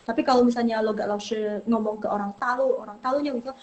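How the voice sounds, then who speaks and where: A woman's voice: a little high, fairly even intonation, fast talker, a little mellow, highs cut off. A young woman from Indonesia teaching Mandarin in a casual live online lesson, chatty and reassuring, trailing off and repeating herself.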